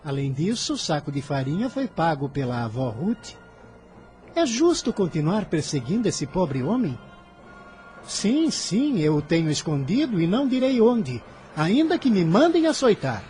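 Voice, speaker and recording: A fervent, high-voiced defiant teenage boy. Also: sing-song, a little slow, a little mellow, soft background music. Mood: defiant, pleading. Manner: fervent, declamatory, emphatic. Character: impassioned defiant fifteen-year-old boy defending the poor. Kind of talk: radio drama acting.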